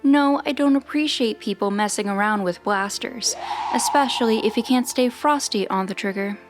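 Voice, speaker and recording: A woman's voice: fairly high pitch, normal speed, over music, close to an everyday voice. A woman playing a brave teenage girl space sidekick in a motion comic. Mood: defiant.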